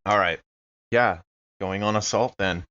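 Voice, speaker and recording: A man's voice: fairly low pitch, a little slow, sharp tone, lo-fi sound. A man talking casually as a player at a tabletop role-playing game.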